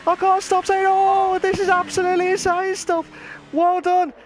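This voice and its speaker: High-voiced race commentator, rambling. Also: male voice, normal speed. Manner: rambling, excitable, bursts into shouting. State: voice going hoarse. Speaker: sim racing league commentator. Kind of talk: live esports race commentary.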